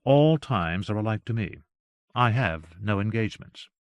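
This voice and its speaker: A man voicing a lonely, bitter wealthy lady who speaks coolly and measured. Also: fairly low pitch, expressive, dry recording. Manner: cool, clipped and measured.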